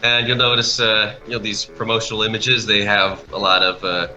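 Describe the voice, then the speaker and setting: A man's voice: lowish voice, little pitch movement, moderate pace, sharp tone, rough recording. A man giving casual, unscripted commentary, often trailing off and rephrasing mid-thought. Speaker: animation project creator co-hosting a speedpaint commentary. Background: background music.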